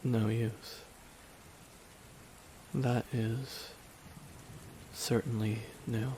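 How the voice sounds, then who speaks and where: Brief: male actor as wry, softened villain for ASMR roleplay; gravelly, hushed, lingering pauses, rain behind. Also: mid-range voice, level tone, a little hiss or hum, rough recording. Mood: quietly taunting. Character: reflective male supervillain, wry, quietly softened toward his nemesis. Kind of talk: ASMR dramatic roleplay monologue.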